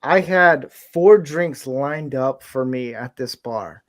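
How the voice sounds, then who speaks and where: A man from the American Midwest with an American accent, chatting casually and telling anecdotes in an animated way as a podcast host. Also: medium pitch, takes it slowly. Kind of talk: casual anecdotal group chat.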